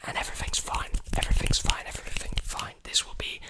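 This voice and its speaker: A young man whispering breathily and soothingly into a close mic, repeating phrases in an ASMR roleplay as a repair technician. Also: quick pace. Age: young adult. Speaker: ASMR performer playing a robot repair technician. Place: small indoor room with clothes hanging behind. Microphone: large desktop condenser mic, very close.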